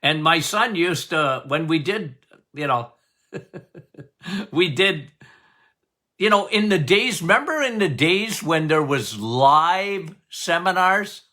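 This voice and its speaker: An older man hosting a health podcast as a doctor, talking loudly and passionately, with frequent laughs. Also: a little high, expressive, takes it slowly. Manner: loud, emphatic, passionate, laughs often. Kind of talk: casual health podcast talk.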